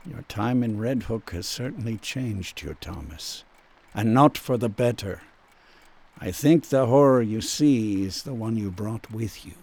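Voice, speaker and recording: An actor playing a controlled, patronizing doctor in a radio drama, speaking in a measured, clinical, condescending manner. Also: male voice, lowish voice, lively intonation, a little mellow. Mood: firm, disapproving. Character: controlled, patronizing male doctor questioning a patient.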